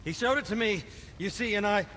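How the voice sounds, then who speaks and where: A man's voice: high voice, a little fast, pushed and bright, slight background noise. An actor playing a squeamish, polite dwarf craftsman in a video game, hesitant, apologetic and flustered. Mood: anxious, flustered. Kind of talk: dramatic video game acting.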